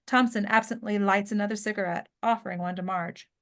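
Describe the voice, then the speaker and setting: A woman narrates a screenplay at a table read. Her pitch is middling and she reads out scene descriptions as scripted narration. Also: steady tone, brisk. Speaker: narrator of stage directions.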